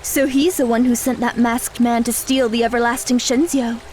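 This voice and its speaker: Actress as concerned young woman, high voice, earnest, questioning, audio drama. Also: level tone, moderate pace, a little mellow, a little hiss or hum, lightly acted.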